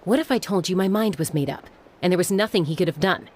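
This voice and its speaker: An actress playing a headstrong, unflappable older sister and adventurer in a video game, cool, confident and dismissive. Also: female voice, lowish voice, fast, a little mellow, a little hiss or hum, lightly acted. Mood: firm, dismissive.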